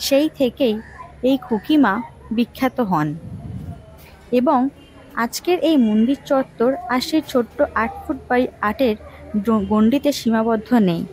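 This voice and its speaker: A woman, narrating local history as a scripted story in measured phrases. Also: on the high side, a little mellow, low-quality recording. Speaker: vlog host and narrator.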